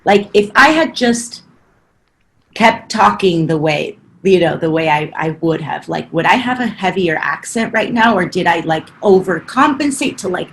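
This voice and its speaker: A woman's voice: fairly low pitch, slight background noise. A podcast guest from Argentina, a woman with a slight Argentine Spanish accent, chatting casually and acting out her own past thoughts. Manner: animated, quick, acts out her own past thoughts in quotes. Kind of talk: casual interview chat.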